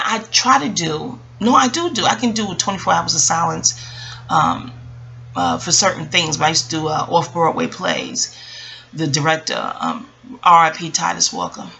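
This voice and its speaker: A woman's voice: fairly low pitch, creaky, sharp tone. An American woman vlogging in a casual, reflective storytelling monologue, rambling off on tangents and talking straight to her listeners. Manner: rambling and digressive, addressing listeners directly.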